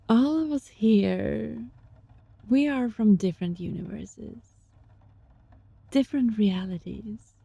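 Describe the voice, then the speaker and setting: A woman playing a gentle, sly young fox-spirit student in an intimate ASMR roleplay, speaking softly and breathily in a coaxing, teasing way. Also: fairly high pitch, slow, long pauses, no echo, dull audio, full character voice. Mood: friendly, relieved. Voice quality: soft, breathy.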